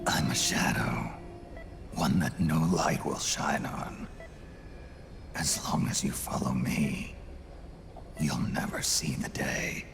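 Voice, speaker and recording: A man playing a gruff, weary, stubborn old soldier in a video game, with a whispery, gravelly rasp. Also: very deep voice, slow pace, long pauses, full character voice. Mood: grim resolve. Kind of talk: cinematic video game acting. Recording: loud music behind.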